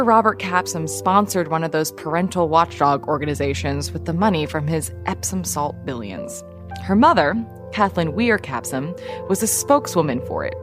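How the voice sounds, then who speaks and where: A woman's lowish voice narrates as a wry radio journalist. She looks back nostalgically in scripted storytelling, with music clearly behind her. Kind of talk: scripted narration in podcast audio drama.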